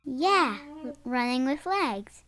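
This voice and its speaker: Really high bright girly childlike voice, bit slow, answering in a lesson demo. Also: big pitch swings.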